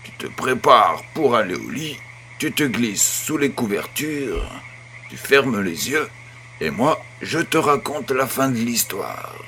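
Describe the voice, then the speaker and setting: A man playing a kindly grandfather who tells a bedtime tale in a measured, gentle, husky voice. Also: medium pitch, very animated, slight background noise, full character voice. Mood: tender, playful. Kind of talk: scripted audio storytelling.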